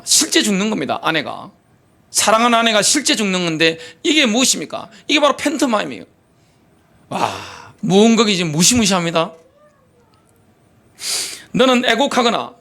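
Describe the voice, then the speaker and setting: Animated high-voiced preaching man. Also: normal speed, long pauses. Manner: animated preaching with rhetorical questions, reads scripture aloud. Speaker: pastor. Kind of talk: sermon.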